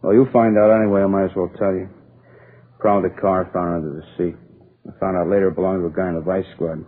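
An actor playing a shifty, slangy, defensive small-time crook in a radio crime drama, on a tinny old recording. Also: male voice, deep voice, little pitch movement, fast talker. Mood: cornered, resigned. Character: shifty small-time crook, wise guy under questioning.

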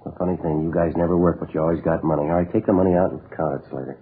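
An actor playing a steady, no-nonsense police detective in a radio crime drama, speaking in a dry, matter-of-fact way on a vintage recording. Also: male voice, very deep voice, racing, husky, phone-quality sound, full character voice. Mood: dry, businesslike. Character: steady, no-nonsense police detective who narrates his cases. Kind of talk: 1950s radio crime drama acting and narration.

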